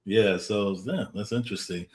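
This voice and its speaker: A man's voice: on the low side, normal speed, darkish tone. A man hosting a podcast from home, chatting in a casual, upbeat way, often laughing and repeating words as he asks questions. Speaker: podcast host. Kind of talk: casual chat.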